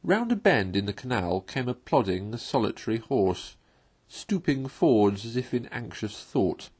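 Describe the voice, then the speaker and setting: A man reading a classic children's story aloud as an expressive, literary narrator. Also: mid-range voice, measured pace, husky.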